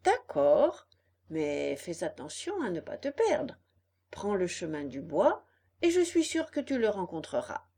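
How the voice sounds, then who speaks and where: A kind young storybook fairy, voiced by a woman, soft-toned and unhurried. She is patient and tender, gently chiding in a measured, melodic way. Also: lowish voice, wildly swooping pitch, husky, exaggerated voice. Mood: caring.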